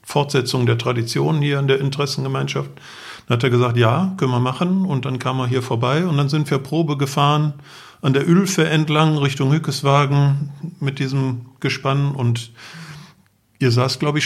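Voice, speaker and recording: A man's voice: mid-range voice, fairly even intonation, moderate pace, creaky. A middle-aged man telling detailed anecdotes informally as a guest on a podcast, in a matter-of-fact way. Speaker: podcast guest, motorcycle traveller.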